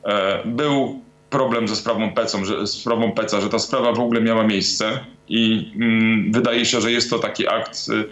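A man in his forties speaking as a guest on a talk show over a video call from his home study, in a halting, deliberate way with many pauses. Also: lowish voice, fairly even intonation, fairly bright tone, reverberant, highs cut off. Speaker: guest commentator. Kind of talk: remote talk-show interview. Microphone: video call webcam.

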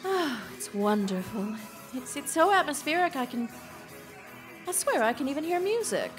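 A self-important lady novelist in an old-time radio play. Her voice is on the high side, imperious and theatrically gushing, with music faintly underneath. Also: very animated, normal speed, rough recording. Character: pompous, bossy, gushing famous female writer.

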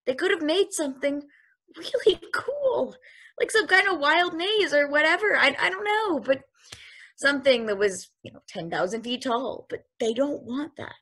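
A woman reading a curious, wide-eyed space probe in a table read over a video call, talking in an earnest, halting way. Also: sits high, animated, a bit bright. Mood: wondering.

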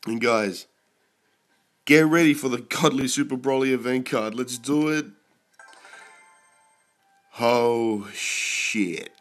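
A young man who is sick and keeps clearing his throat, casually commenting on his mobile game pulls and getting excited and swearing at good results. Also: mid-range voice, slow pace, pauses often, faint music behind.

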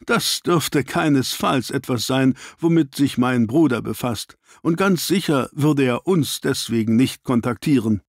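A man voicing a shrewd, dry-witted gentleman detective in an audiobook, speaking formally and inquisitively. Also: mid-range voice, lively intonation, unhurried, few pauses, no echo. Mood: keen, dry.

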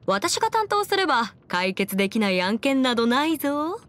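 High-voiced, confident young lady lawyer. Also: very animated, moderate pace, fairly bright tone. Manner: self-assured, formal, crisp lecturing phrasing. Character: confident, bookish young woman legal expert, proud and playful. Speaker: video game character voice actor. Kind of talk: scripted anime-style game character monologue.

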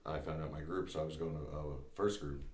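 Man, 30s, very deep voice, relaxed interview guest, echoey studio. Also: quick pace. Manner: relaxed, many fillers, laughs while telling stories. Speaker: podcast guest, former Green Beret. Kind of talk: casual interview storytelling. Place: small studio set at a table.

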